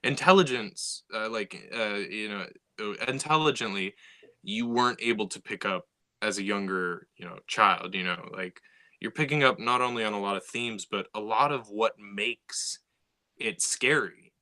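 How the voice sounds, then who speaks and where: A man's voice: lowish voice, normal speed, sharp tone. A young man talking casually about science fiction, with many fillers and restarts. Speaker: sci-fi writer. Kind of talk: casual conversation.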